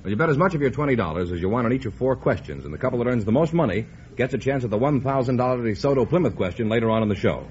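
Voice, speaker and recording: A man's voice: medium pitch, lively intonation, racing, a little mellow, lo-fi sound, a little hiss or hum. An American man announcing in a polished, emphatic 1950s radio advertising style on a vintage recording.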